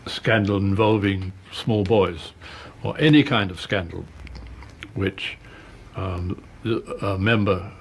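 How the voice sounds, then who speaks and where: A man recalling things candidly in an interview, halting and matter-of-fact, with old-fashioned turns of phrase. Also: on the low side, creaky, fairly bright tone, rough recording. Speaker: interviewee, former party whip. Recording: archive interview with background noise.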